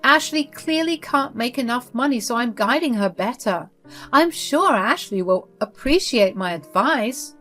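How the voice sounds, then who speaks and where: A woman voicing a pushy, scornful older mother-in-law who talks down in a shrill, condescending way. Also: expressive, unhurried, fairly bright tone, soft background music.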